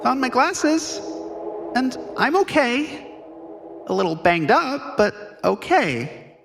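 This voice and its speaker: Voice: sits high, big pitch swings, slow pace, creaky, background noise, full character voice. An actor playing a curious, easily distracted, bespectacled youngster in an audio drama, chatty and eager to explain things. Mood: sheepish relief.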